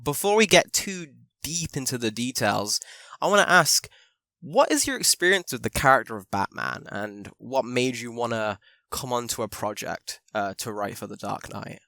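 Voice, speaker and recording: A man's voice: medium pitch, big pitch swings, moderate pace, bright, forceful tone, dry recording. A man hosting a podcast and interviewing a guest in a chatty, casual way. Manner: chatty, with fillers and restarts. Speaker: podcast host.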